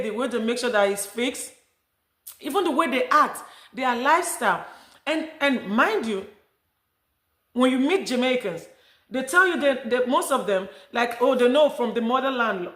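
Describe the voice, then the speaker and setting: A woman's voice: on the high side, fairly bright tone. A middle-aged woman from Africa talking casually to camera as a vlogger, rambling with many restarts. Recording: echoey room.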